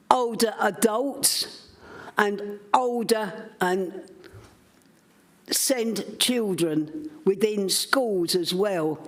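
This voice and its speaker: A woman addressing a council committee in a loud, insistent, campaigning manner. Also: mid-range voice. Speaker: public speaker at council meeting. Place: council chamber.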